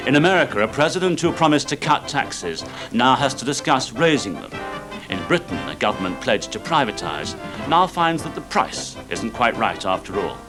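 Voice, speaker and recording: A man reading a scripted trailer for a current affairs programme. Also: mid-range voice. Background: music.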